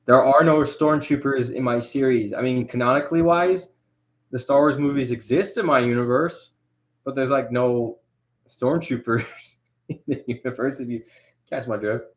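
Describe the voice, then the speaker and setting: A man's voice: mid-range voice, steady tone, normal speed. A young man chatting casually on a livestream while he animates. He rambles, with lots of fillers and restarts.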